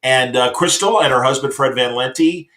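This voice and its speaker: A man's voice: mid-range voice, normal speed, fairly bright tone. Middle-aged man hosting casual, rambling chat. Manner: rambling, hesitant phrasing. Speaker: talk show host.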